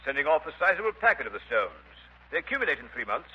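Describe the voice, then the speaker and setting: An actor playing a pompous, brusque British colonial major in a 1930s radio drama, with clipped speech. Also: male voice, fairly high pitch, big pitch swings, rapid-fire. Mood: brisk, dismissive. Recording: muffled, hissy 1930s radio broadcast.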